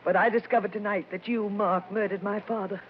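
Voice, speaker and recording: A woman's voice: mid-range voice, steady tone, fairly quick, lightly acted. An actress playing an anxious, determined young woman, a murdered doctor's daughter, in dramatic, breathless old-time radio horror style. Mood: accusing. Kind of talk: 1940s radio horror acting. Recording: noisy, muffled old radio recording.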